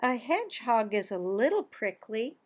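A woman in her sixties reading a children's picture book aloud, expressively, with drawn-out words and pauses. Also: a little high, very animated. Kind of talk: children's storybook read-aloud. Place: small home office, indoors.

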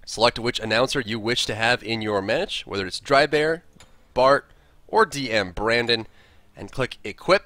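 A man's voice: medium pitch, moderate pace, bright, forceful tone, no room sound. A man presenting a scripted video tutorial, explaining things step by step. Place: close, dry voice-over recording.

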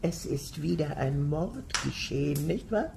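A sinister old aristocratic lady, speaks slowly and deliberately in a lowish voice. She relishes every grim detail like someone in command, in a radio thriller with faint background noise. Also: expressive, vocal fry, exaggerated voice. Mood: dark, brooding. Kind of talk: German radio thriller acting.

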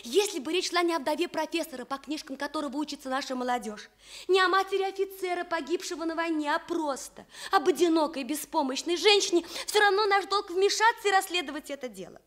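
A woman's voice: sits high, a little fast, natural voice. An actress playing a principled, impassioned woman journalist, earnest and emphatic in the style of Soviet radio theatre. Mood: resolute appeal.

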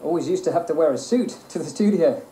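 A man's voice: on the high side, fast talker, close to an everyday voice. A man playing a dry, unimpressed, complaining guitarist in a film drama, in an echoey room. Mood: dry grumbling. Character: grumbling, unimpressed male session guitarist. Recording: echoey room, loud music behind.

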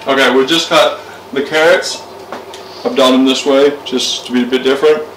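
A young man ambles through a home cooking demo, full of "uh"s and easy asides. His voice is picked up from a camera across the kitchen, so it sounds roomy and a little distant. Soft clatter of pans sits underneath. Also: medium pitch, moderate pace, slight background noise. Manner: relaxed, rambling, lots of fillers. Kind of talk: casual cooking demonstration. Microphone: camera mic from across the room.

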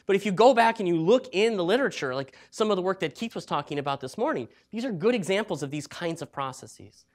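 A middle-aged man giving an academic lecture in a hall, talking in a lively, conversational way with joking asides. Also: sits high. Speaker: university researcher giving a talk.

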